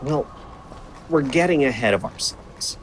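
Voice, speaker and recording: A man's voice: mid-range voice, animated, a little slow, a little hiss or hum, lightly acted, rough recording. An actor playing an idealistic, opinionated young man in an audio drama, earnest and emphatic. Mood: gentle, earnest.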